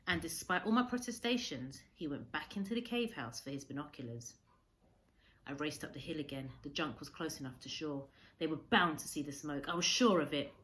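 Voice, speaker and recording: A woman reading a storybook aloud as a teacher would, expressively, with measured dramatic emphasis. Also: sits low, animated, fairly bright tone, a little hiss or hum.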